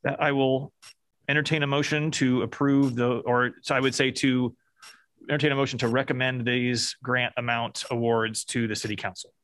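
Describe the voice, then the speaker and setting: A man chairing a city commission meeting, running motions and votes in a halting, procedural way. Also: mid-range voice, little pitch movement, fairly bright tone.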